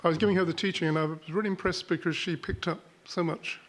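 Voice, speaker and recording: A pastor introduces a speaker to his church in a relaxed, informal way. His voice sits fairly high for a man. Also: fast talker, slight background noise.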